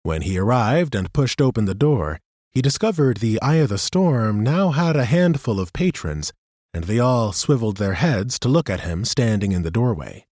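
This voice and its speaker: A male narrator reading a fantasy audiobook in a steady, even storytelling style. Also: medium pitch, moderate pace, no echo, studio quality.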